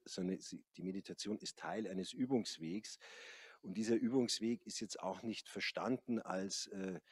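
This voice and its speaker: Low, husky man talking about yoga. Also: no echo. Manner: hesitant, many fillers. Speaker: yoga teacher. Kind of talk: panel conversation. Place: large café hall, indoors. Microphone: handheld mic.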